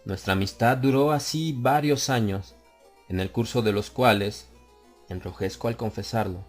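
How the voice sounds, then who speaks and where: A man narrating a horror tale as an audiobook, in a grave and measured way, stretching key phrases out slowly. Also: on the low side. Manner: grave, measured delivery with drawn-out slow phrases. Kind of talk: dramatic horror audiobook reading. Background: faint harpsichord music.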